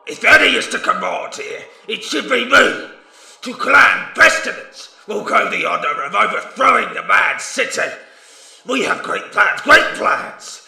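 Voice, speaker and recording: A man voicing a paranoid, boastful ratman warlord with a raspy snarl and broken, menacing speech. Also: sits high, animated, unhurried, bright, forceful tone, exaggerated voice. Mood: scheming, boastful. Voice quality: raspy, screechy. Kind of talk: dramatic fantasy character acting. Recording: roomy reverberant voice over music.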